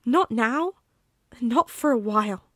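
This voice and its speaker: Furious teen werewolf boy, read by a woman, bitter, swearing, shouting, high-ish and dry. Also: expressive, extremely slow, breathy edge, no room sound, full character voice. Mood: cold.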